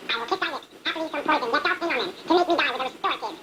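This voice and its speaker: A woman's voice: racing, close to an everyday voice. A woman voicing an anguished young girl in love in an amateur Shakespeare puppet show, theatrical and exaggerated. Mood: despairing. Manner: high-pitched, theatrical, exaggerated. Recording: noisy amateur student recording.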